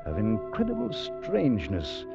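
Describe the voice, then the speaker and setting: A dull vintage radio recording with music behind carries a male time traveller telling his story. His voice is low and very animated in pitch. He is cautious and full of wonder, with dramatic narration and hesitant dialogue. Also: measured pace, vocal fry, full character voice. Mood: wonderstruck. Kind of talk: old-time radio drama acting, first-person narration.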